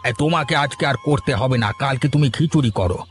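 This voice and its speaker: A man's voice: medium pitch, quick pace, faint music behind, natural voice. Chatty older village husband played by a man. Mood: genial, chatty. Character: genial older village husband and father-in-law. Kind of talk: cartoon story dialogue.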